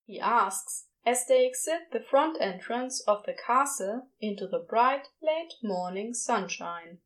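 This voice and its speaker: A woman's voice: on the high side, lively intonation, slow. A woman reading scripted fiction as the narrator of an audio story.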